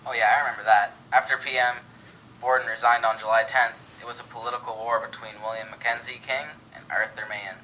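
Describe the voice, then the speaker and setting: Young guy reading radio host lines quick, bright tone, faint noise behind. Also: medium pitch, flat delivery, low-quality recording. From Canada. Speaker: co-host of a mock radio show. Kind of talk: scripted 1920s radio show discussion by amateurs.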